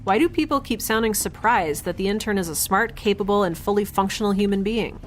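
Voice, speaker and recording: A woman playing a chatty, nerdy, stubborn young scientist in an audio drama, rambling and halting over clear background noise. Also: mid-range voice, natural voice. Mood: urgent, exasperated. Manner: rambling, halting, talking to herself. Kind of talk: audio drama monologue and dialogue.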